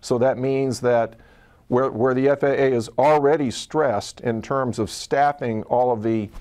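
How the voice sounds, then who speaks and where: An American male executive gives an interview answer in a medium-pitched voice. He talks as a chief executive explaining his view. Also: fairly even intonation, unhurried, darkish tone.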